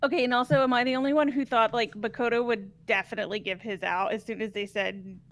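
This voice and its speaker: A woman with a fairly high voice talks casually about a game. She sounds conversational, as among friends. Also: fairly even intonation, fast talker, a bit bright. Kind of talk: casual gaming chat.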